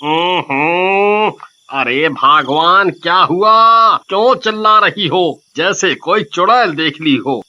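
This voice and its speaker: A man's voice: sits high, lively intonation, slow, sharp tone, lo-fi sound, heavily acted. A man playing a boastful, greedy thief and husband in a dramatized story, with theatrical, exclamatory delivery. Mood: alarmed, irritated.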